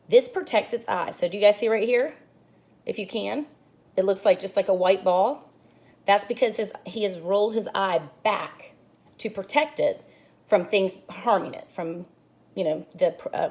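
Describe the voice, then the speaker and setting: A woman teacher reading a children's book aloud, explaining pages to young students as she goes. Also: mid-range voice. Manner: expressive storybook reading with asides to kids. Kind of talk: children's read-aloud lesson.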